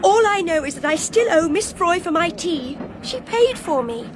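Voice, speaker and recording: A woman's voice: very high-pitched, expressive, moderate pace. An actress playing a desperate, earnest young woman with an English accent in a radio drama. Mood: indignant. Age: young adult. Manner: earnest, emphatic. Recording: clear train noise behind.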